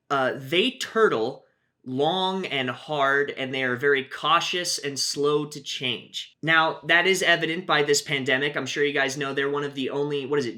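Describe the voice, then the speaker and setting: A man with a fairly high, bright voice runs his own channel, talking casually about travel news. He keeps a wry, chatty tone and drops in frequent filler "uh"s as he walks through each point. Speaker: YouTube host.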